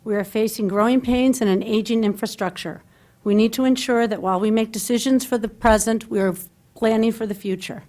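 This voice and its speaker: A woman's voice: a little high, steady tone, a little fast, darkish tone. A middle-aged woman giving a prepared, formal and earnest campaign statement at a local candidates forum, speaking into a table microphone. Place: public candidates forum in a meeting hall.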